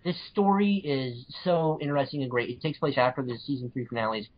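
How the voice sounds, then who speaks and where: A man's voice: mid-range voice, fairly quick. A man co-hosting a casual podcast, recommending stories in a gushing, enthusiastic way. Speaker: podcast co-host. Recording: dull, lo-fi audio.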